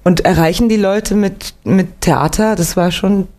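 A young Swiss woman, an actress and singer, chatting lively as a guest in a late-night radio interview, with a faint Swiss German accent. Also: mid-range voice, a little slow. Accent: slight Swiss German. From Switzerland, Winterthur. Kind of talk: relaxed radio interview chat.

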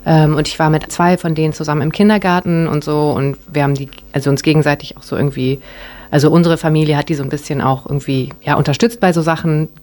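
A woman's voice: sits low, steady tone, fast, a little mellow. A young woman, an actress, chatting as a guest in a radio interview, with many fillers and frequent laughter. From Germany, Bonn. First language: German and Japanese, bilingual.

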